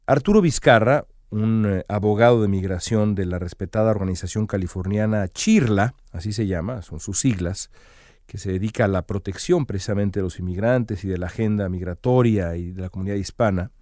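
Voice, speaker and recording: A man with a fairly low voice delivers scripted news commentary, earnest and emphatic, pressing on key phrases. The sound is dry and close, with no room around him. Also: a little fast, darkish tone, no room sound.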